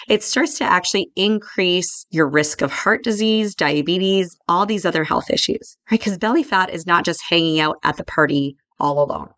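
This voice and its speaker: Croaky-voiced woman podcast host. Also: lowish voice, fairly bright tone, dry recording. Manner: upbeat, conversational, often tags "right?". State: hoarse from laryngitis. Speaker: podcast host, holistic nutrition consultant. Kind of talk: solo podcast talk.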